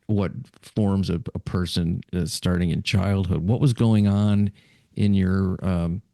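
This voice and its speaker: A man's voice: low-pitched, fairly even intonation, a little slow, vocal fry, dark tone, dry recording, studio quality. A man hosting a podcast, asking his guest curious questions that halt and repeat. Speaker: podcast host. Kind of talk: interviewing a guest.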